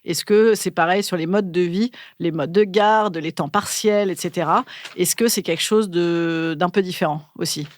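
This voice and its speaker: A woman's voice: lowish voice, moderate pace, no room sound. A woman from Cantal hosting a podcast, asking long, rambling questions in a self-deprecating, giggly way. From Cantal, France. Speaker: podcast host. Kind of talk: casual interview conversation.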